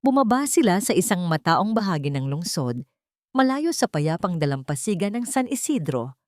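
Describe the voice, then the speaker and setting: A woman's voice: fairly low pitch, very animated, normal speed, dry recording, studio quality. A woman reading a dramatic scripted story as an audiobook narrator.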